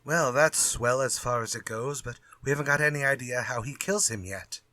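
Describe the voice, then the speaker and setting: A man playing a bright, flippant radio-play writer. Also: medium pitch, normal speed, no echo, natural voice. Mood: curious, eager. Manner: flippant, old-fashioned phrasing. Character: glib, unafraid male writer sparring with his villain. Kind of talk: retro radio drama acting.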